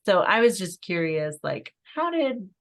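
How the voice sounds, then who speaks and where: A woman co-hosts a podcast in relaxed conversation. Her voice sits on the lower side but moves a great deal in pitch. She talks slowly and chattily. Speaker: co-host. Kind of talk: casual chat.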